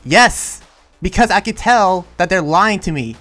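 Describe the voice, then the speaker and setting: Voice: mid-range voice, expressive, measured pace, a bit bright, faint music behind. A performer playing a defensive, insistent town protector who argues for trusting demons in an improvised anime roleplay. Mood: exasperated, pleading.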